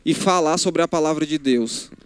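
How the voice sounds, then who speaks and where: A man's voice: on the high side, moderate pace, clean tone, no echo. A young man preaching a casual live sermon, talking straight to the crowd and often restarting his sentences. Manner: conversational, addresses crowd directly, frequent restarts. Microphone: handheld microphone.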